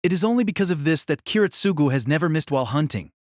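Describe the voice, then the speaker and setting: A man reading scripted, dramatic novel narration as an audiobook narrator, in a measured, formal way. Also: on the high side, lively intonation, no room sound, clean studio sound.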